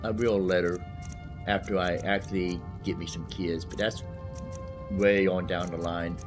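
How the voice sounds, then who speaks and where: Low-voiced man casually narrating gameplay. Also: flat delivery, measured pace. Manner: rambling, thinking aloud. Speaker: gaming video host. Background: game music.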